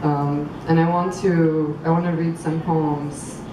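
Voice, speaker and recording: A woman from Croatia reading poems at a public event, halting and thoughtful between poems and measured while reading. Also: deep voice, level tone. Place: outdoor public venue. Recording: echoey, far from mic, street noise.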